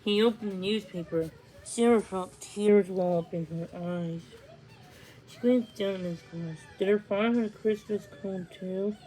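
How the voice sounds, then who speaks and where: A woman reads a children's storybook aloud, halting now and then and restarting words. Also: mid-range voice, mellow, low-quality recording. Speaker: storybook reader. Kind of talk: read-along storytelling of a children's book.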